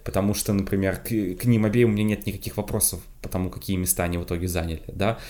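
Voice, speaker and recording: Fast-talking low-voiced Muscovite man. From Moscow, Russia. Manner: quick, lively, laughs often, restarts. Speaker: podcast co-host. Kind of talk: casual chat.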